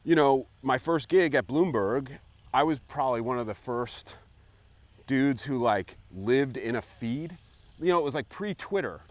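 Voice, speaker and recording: A man's voice: medium pitch, expressive, unhurried, dry recording. A man in his forties, hosting a casual chat outdoors on a golf course, telling rambling stories full of "like" and "you know". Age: 40s. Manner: rambling, filler-heavy storytelling. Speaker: host.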